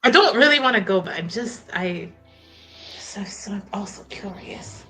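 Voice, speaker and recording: A woman's voice: mid-range voice, lively intonation, moderate pace, pushed and bright, soft background music. A woman improvising casual, slangy in-character banter as a player in a tabletop role-playing game. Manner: slangy, informal.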